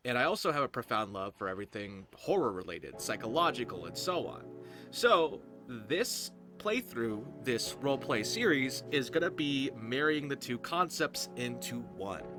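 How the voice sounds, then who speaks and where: A man's voice: medium pitch, very animated, moderate pace. A man hosting a gameplay series with casual, rambling, informal commentary. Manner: informal, rambling, occasionally profane. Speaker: roleplay series creator and host, voice actor. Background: music.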